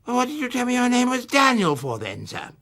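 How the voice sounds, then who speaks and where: A man's voice: extremely high pitch, huge pitch swings, fairly quick, sharp tone, pitched above the performer's own voice, heavily acted. An actor playing a short, fat, testy judge, pompous and peremptory as he questions.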